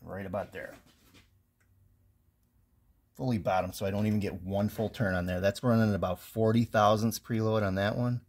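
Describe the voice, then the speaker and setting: A man with a somewhat low voice talks through engine parts in a plain, technical way, with ums and restarts. He sounds like a casual garage how-to, recorded close on a handheld phone. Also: steady tone, normal speed, long pauses. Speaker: home mechanic. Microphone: handheld phone camera close over engine.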